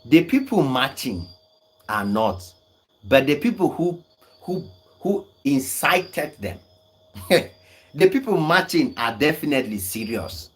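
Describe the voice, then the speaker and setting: Animated, argumentative man. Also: medium pitch, takes it slowly, long pauses. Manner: argumentative, emphatic, laughs often. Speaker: online commentator. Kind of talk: livestream replying to viewer comments.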